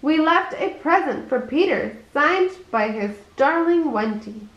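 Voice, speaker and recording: A woman's voice: high-pitched, animated, a little slow, echoey room, highs cut off, voice pushed up. A woman voicing a villainous male pirate captain from a storybook, theatrical, commanding and taunting. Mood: gloating.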